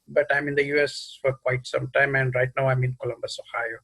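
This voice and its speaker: A man's voice: mid-range voice, flat delivery, fairly quick, creaky, fairly bright tone, husky. A man from Hyderabad introducing himself politely as a student on a video call. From Hyderabad, India. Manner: polite, respectful.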